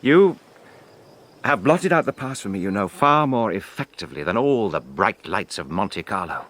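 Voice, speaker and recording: An actor playing a brooding, brusque middle-aged widowed gentleman in a radio drama, with a clipped, commanding, well-bred manner. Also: lowish voice, big pitch swings, moderate pace. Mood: bitter, angry.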